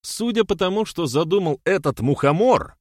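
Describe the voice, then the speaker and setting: A man's voice: high-pitched, lively intonation, normal speed, no echo, studio quality, voice pushed up. A man voicing a hot-tempered, defiant warrior-sorcerer in an audiobook, declamatory and sarcastic. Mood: furious, accusing.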